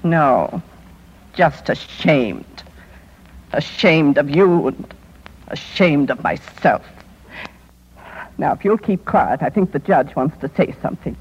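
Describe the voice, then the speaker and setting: An actress playing a proud middle-aged widow with a cutting, imperious manner in a 1950s radio drama. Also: female voice, sits low, animated, slow, long pauses, darkish tone, exaggerated voice. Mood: cutting, ashamed. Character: proud, resolute widow with biting wit. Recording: husky-sounding, muffled 1950s radio recording.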